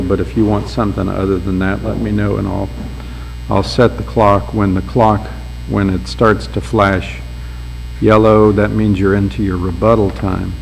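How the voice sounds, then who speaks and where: Man judge, low gravelly voice, measured and pointed, courtroom echo, faint hum. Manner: measured, procedural, pointed questioning. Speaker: presiding appellate judge. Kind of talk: formal courtroom proceedings and questioning.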